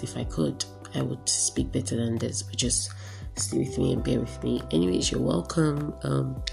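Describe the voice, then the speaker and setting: A woman hosting a radio teaching broadcast, her voice raspy and hoarse from a cold, speaking earnestly and encouragingly. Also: a bass voice. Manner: earnest, encouraging, repeats herself for emphasis. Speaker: radio preacher and host.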